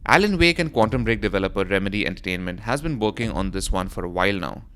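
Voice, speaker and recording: A man's voice: fairly low pitch, animated, fast, a bit bright, clear, resonant tone. A man reading a scripted voice-over for a gaming news roundup, in the role of presenter. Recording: music behind the voice. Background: background music.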